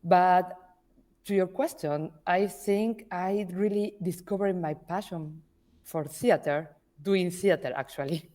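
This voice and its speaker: A woman's voice: lowish voice, steady tone, slow, dark tone. A woman from Argentina, whose first language is Spanish, speaking hesitantly as a podcast guest. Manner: hesitant, searching for words in English. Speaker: podcast guest, artist manager. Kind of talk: conversational interview.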